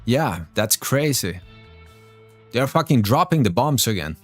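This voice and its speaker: A man hosts a casual live political stream in English, his mid-pitched voice rising and falling a lot. He speaks informally and earnestly, repeating phrases for emphasis, over faint background music. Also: sing-song, unhurried. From Greece and Sweden.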